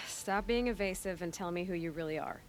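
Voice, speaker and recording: A woman's voice: on the low side, normal speed, slight background noise, close to an everyday voice. A woman playing a wary, impatient young huntress in a video game, terse and skeptical. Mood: wary, direct. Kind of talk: naturalistic video game acting.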